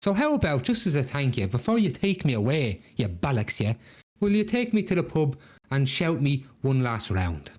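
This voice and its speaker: A man's voice: medium pitch, big pitch swings, moderate pace, a little mellow. A man voicing a sly, stingy drunkard with an Irish accent, chatty and wheedling, in a comic told story. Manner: wheedling, chatty, roundabout, cheeky.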